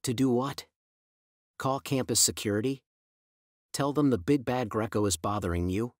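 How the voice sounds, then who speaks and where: A man voicing a menacing young male hitman who speaks in a low, taunting, insinuating way. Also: moderate pace, dry recording, clean studio sound, lightly acted.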